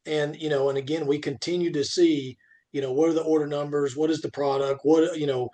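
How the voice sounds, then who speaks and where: A man in casual podcast conversation about his trade, talking in a halting, emphatic way and often repeating himself. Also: on the high side, fairly even intonation, mellow. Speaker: podcast co-host. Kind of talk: conversational industry podcast talk.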